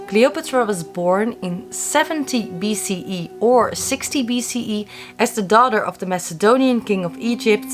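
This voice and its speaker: Chatty young woman hosting a mystery channel. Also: medium pitch. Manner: friendly, chatty storytelling with wry asides. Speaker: YouTube host of a mystery channel. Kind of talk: casual scripted talk to camera.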